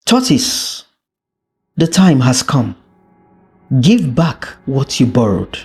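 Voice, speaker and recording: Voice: mid-range voice, sing-song, slow pace, a little mellow. A storyteller voicing a stern, solemn parrot in a folktale, giving commands. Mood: stern, commanding. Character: stern parrot demanding justice from a cheat.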